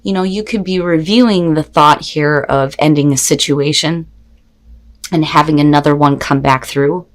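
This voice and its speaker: A woman with a fairly low-pitched voice reads tarot cards for her viewers. She speaks off the cuff in a casual, expressive, conversational manner, peppered with rhetorical questions. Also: moderate pace. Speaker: tarot reader and host. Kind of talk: casual, unscripted tarot reading addressed to viewers.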